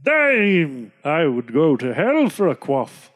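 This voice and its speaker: A man's voice: on the high side, wildly swooping pitch, takes it slowly, exaggerated voice. A man voicing a pompous, blustering English country squire who bellows and harumphs. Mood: boastful. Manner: bellowing, huffy, full of harumphs.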